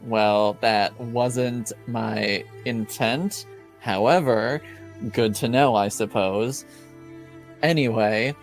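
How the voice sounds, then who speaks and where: Man playing a mild, slow-spoken, bashful escort. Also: mid-range voice, fairly even intonation, soft background music. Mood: mild, deadpan. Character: mild, agreeable, bashful male escort, puzzled.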